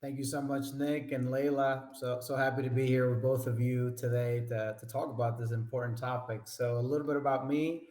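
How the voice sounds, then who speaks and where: A man from the Dominican Republic, a panelist speaking in a friendly, steady and upbeat way on a video call. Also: mid-range voice, a little fast, hardly any pauses, reverberant. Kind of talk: casual panel talk.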